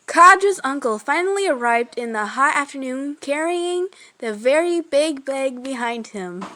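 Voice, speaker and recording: A woman's voice: high-pitched, animated, measured pace, bright, forceful tone. A female reader narrating a children's story aloud.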